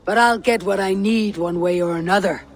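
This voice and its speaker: A woman's voice: medium pitch, normal speed, lightly acted. A resolute Viking warrior woman of a video game, acted by a woman. She talks in short, commanding phrases and wastes no words, blunt and fearless. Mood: firm, impatient. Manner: curt, commanding. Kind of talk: video game cutscene acting.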